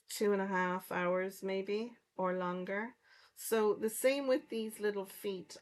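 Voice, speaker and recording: A middle-aged woman from County Clare with a soft Irish accent, chatting casually while she works through a card-making livestream. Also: medium pitch. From Ireland, County Clare. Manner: chatty, explains each step while working. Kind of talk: casual crafting livestream chat.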